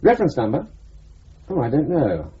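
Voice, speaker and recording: An actor playing a brisk, wry, exasperated intelligence officer in a radio drama. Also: male voice, a little high, wildly swooping pitch, warm tone, husky, heavily acted. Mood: brisk, irritated. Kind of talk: broadcast radio drama acting. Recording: muffled radio recording, faint hiss.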